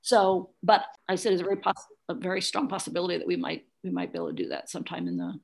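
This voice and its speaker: A woman's voice: fairly low pitch, sing-song, very fast, gravelly, darkish tone. A middle-aged woman talking as an interview guest, recounting personal experience in a plain-spoken, matter-of-fact way. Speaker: interview guest, adoptive single mother.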